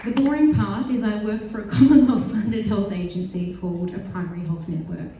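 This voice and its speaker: A woman's voice: mid-range voice, brisk, muffled recording, a crowd in the background, noisy background. A woman from Australia with an Australian accent giving an earnest, determined prepared speech on a panel, with light self-deprecating asides. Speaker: panel speaker. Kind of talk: prepared speech at a live event. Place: large synagogue hall. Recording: very echoey hall from far off.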